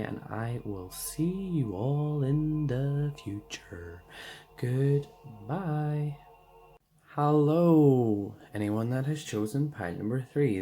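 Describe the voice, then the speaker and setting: A man giving a casual tarot card reading, hoarse and losing his voice, rambling loosely with lots of fillers. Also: fairly low pitch, dark tone.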